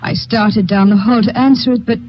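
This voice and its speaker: A woman's voice: on the high side, fairly even intonation, normal speed, natural voice. An actress playing a young Polish woman secretary, speaking in a guarded, formal manner in a vintage radio drama. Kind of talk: 1950s radio drama acting. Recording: dull lo-fi vintage radio recording.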